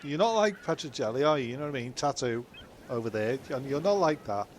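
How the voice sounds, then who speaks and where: A man's voice: medium pitch, lively intonation, quick pace, slight background noise, lightly acted. A man voicing a flustered male castaway comedian who rants and swears when annoyed. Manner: ranting, sweary.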